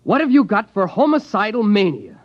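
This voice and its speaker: An actor playing an anxious man fighting a homicidal urge, nervous, urgent and halting, with an American accent, in mid-century radio drama style. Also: sits high, sing-song. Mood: agitated, desperate. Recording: dull vintage radio recording.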